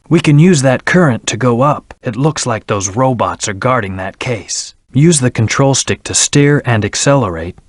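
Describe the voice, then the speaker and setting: A man's voice: medium pitch, dry recording, clean studio sound, lightly acted. A man voicing a brooding, stern hedgehog antihero in a video game, speaking in a terse, serious, controlled way. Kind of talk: video game voice acting, scripted hints and battle lines.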